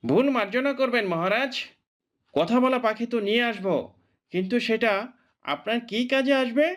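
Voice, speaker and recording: An eager royal minister from a cartoon, played by a man, high in pitch for a male voice. His manner is formal, obedient and deferential. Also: normal speed, fairly bright tone. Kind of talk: cartoon voice acting.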